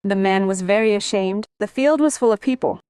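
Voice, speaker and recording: A woman from Bangladesh telling her life story as an interview guest, in an animated, emphatic manner. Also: mid-range voice, brisk, clear, resonant tone, no echo, studio quality. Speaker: interview guest, former sprinter.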